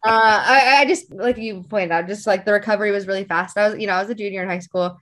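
A young woman with a mid-pitched voice talks casually as an interview guest. She rambles informally through personal stories, leaning on little filler words as she goes. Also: quick pace, fairly bright tone. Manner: informal, rambling, frequent "like" and "you know".

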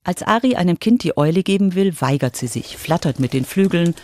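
A woman's voice: fairly low pitch, expressive, brisk, mellow, dry recording, clean studio sound. A woman reporter reading scripted narration for a children's radio feature.

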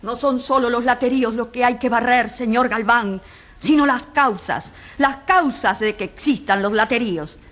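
An actress as an impassioned high-voiced woman prosecutor. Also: moderate pace, fairly bright tone, natural voice. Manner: earnest, rhetorical, emphatic. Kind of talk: radio drama courtroom speech.

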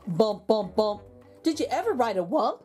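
A woman reading a picture book aloud to young children, with expressive, exaggerated intonation and drawn-out words. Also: a little high, breathy edge. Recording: background music under the voice. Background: music.

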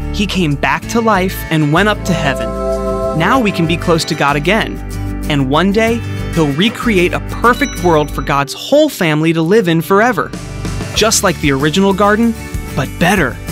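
Man, children's cartoon narrator, slightly high voice, music bed behind. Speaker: narrator of an animated Bible video. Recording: studio voice-over with music underneath.

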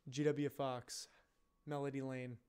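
A man's voice: mid-range voice, little pitch movement, unhurried, dark tone, clean tone. A man in his thirties reading scripted narration into a close desk microphone at home. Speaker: amateur voice actor. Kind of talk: scripted narration, practice reads. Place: home room. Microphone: close desk microphone with pop filter.

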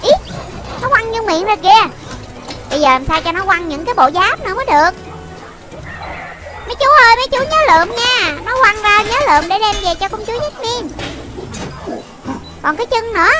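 Very high childlike voice, excited game commentary. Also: fairly quick, long pauses. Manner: childlike, exclamatory, repeats short phrases. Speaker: game video narrator for children. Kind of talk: excited running gameplay commentary. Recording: loud game music over the voice. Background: loud video game music.

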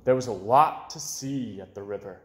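A young man reading a children's story aloud in an expressive way, with dramatic pauses. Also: fairly low pitch. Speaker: storybook reader. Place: small indoor room with bookshelves. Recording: roomy, echoey indoor sound.

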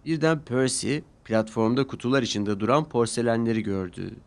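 A man narrating a children's cartoon story in a lively storytelling manner. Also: mid-range voice, fairly quick.

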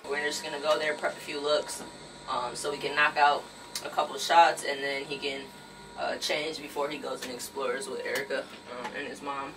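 A woman's voice: extremely low pitch, little pitch movement, normal speed. A young American woman chatting casually and slangily to a handheld camera as she vlogs. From United States, Michigan. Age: young adult. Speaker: vlogger. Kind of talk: casual vlog chat. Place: on the move in city streets, eateries, lobby. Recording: noisy city ambience, traffic and crowds.

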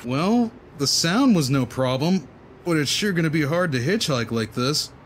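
A man's voice: on the high side, expressive, moderate pace, very noisy, close to an everyday voice. A man playing a hapless, doubtful soldier down on his luck in a comic-book dub, wry and glum.

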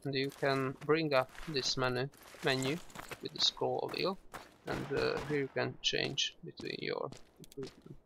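A man narrating a shooter game tutorial in a relaxed, explanatory way, often restarting with "well" and "uh". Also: medium pitch, measured pace, gravelly, a little hiss or hum. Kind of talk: casual instructional commentary over gameplay. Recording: loud game gunfire behind the voice. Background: game gunfire and shooting sounds.

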